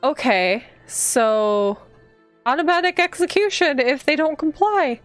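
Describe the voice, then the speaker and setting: A woman's voice: high voice, very animated, a little slow, no room sound, faint music behind. A woman streaming a game, reading its text aloud and adding casual, thoughtful asides. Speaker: streamer playing a visual novel.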